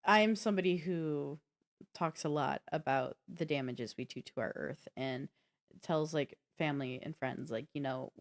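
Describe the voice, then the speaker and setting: A woman's voice: sits low, moderate pace. A woman co-hosting a gaming podcast, chatting informally in a rambling, anecdotal way. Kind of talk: casual chat.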